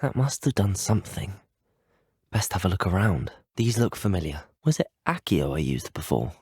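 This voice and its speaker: Polite young British wizard student, animated. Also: male voice, low voice, moderate pace, slightly rough, dry recording, clean studio sound, full character voice. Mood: curious, polite. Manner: polite, articulate, formal phrasing. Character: young male student wizard, polite, curious, earnest adventurer. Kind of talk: video game voice acting.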